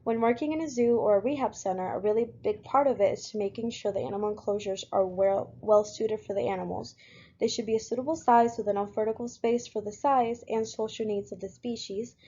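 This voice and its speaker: Young woman student from Puerto Rico, warm husky voice, earnest presenter, lo-fi recording. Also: medium pitch, fairly quick. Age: young adult. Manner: steady, earnest delivery with occasional fillers. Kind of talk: reading a class presentation.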